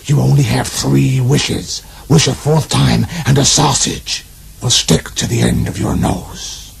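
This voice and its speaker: An actor playing a power-crazed rich American tycoon in a wheelchair, bombastic and prone to shouting, in a comic radio play. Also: male voice, medium pitch, a little slow, vocal fry, slightly rough, heavily acted. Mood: scheming.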